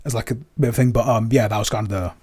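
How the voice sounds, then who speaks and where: A man chatting casually in a behind-the-scenes Q&A as a producer and composer, with a halting, self-effacing way of talking. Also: lowish voice, fairly even intonation, darkish tone, slightly rough.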